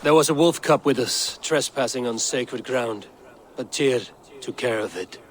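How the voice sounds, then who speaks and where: An actor playing a wrathful Norse god in a video game, speaking in an imperious, suspicious, declamatory way. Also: male voice, medium pitch, unhurried, a little hiss or hum, lightly acted. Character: wrathful, commanding Norse god, male wanderer and warrior. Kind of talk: video game cutscene acting.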